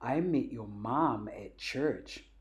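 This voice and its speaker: A cheerful storybook dad, read by a woman. The delivery is enthusiastic and patient, with big lively rises as he explains things to his child. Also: extremely low pitch, slow, exaggerated voice. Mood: cheerful, explaining.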